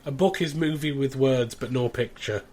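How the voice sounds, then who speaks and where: A man's voice: mid-range voice, normal speed, a little mellow, a little hiss or hum. A man hosting a podcast in casual, conversational discussion, reviewing as he goes. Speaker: podcast host.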